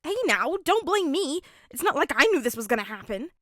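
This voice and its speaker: A woman voicing an excitable, pouty dad who protests in a dramatic whine in a story reading. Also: high-pitched, a little fast, dry recording, clean studio sound, voice pushed up, exaggerated voice. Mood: defensive.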